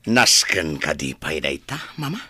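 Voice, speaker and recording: A man playing a resentful, scheming adopted son in an Ilocano radio drama, with a raspy, forceful, drawling delivery. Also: rumbling low, very animated, unhurried, no echo, exaggerated voice. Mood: resentful, demanding.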